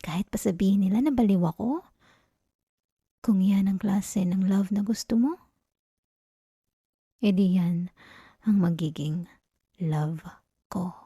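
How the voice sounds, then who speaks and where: A woman playing a teasing, possessive young yandere girlfriend in an intimate ASMR roleplay, soft and breathy with a Filipino accent, coaxing and often slipping into whispers. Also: medium pitch, no echo, studio quality. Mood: possessive, insistent. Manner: slow, coaxing, giggly teasing. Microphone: close, dry ASMR microphone.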